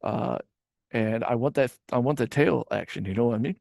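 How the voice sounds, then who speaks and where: A man's voice: medium pitch, a little fast, gravelly, darkish tone, no room sound. A male podcast host talks casually, rambling and restarting his sentences, swearing now and then. His voice sits mid-range. The recording is dry and close. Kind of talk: casual reaction chat.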